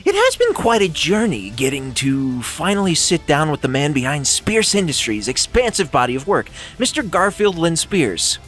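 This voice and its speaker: A man's voice: fairly high pitch, huge pitch swings, normal speed, hardly any pauses, a bit bright, faint music behind. A man voicing a chirpy female TV news interviewer in a comic script reading, with a sugary, gushing manner.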